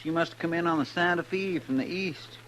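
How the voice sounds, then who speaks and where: An actor playing an eager, talkative deputy in a 1950s radio western, with a rustic, folksy way of speaking. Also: male voice, a little high, a little fast, natural voice. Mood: eager, admiring. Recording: noisy 1950s radio recording.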